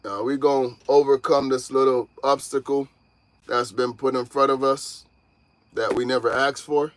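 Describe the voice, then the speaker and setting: A man's voice: mid-range voice, little pitch movement, moderate pace, a little hiss or hum. A man in his thirties, originally from Jamaica, chatting casually with viewers from inside his parked truck cab. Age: 30s. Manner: laid-back, talks straight to viewers, calls them y'all. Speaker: truck driver vlogger. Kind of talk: casual livestream chat.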